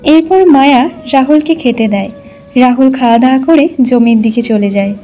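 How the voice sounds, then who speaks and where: A woman's voice: high-pitched, normal speed. A woman narrating a scripted cartoon story as the storyteller.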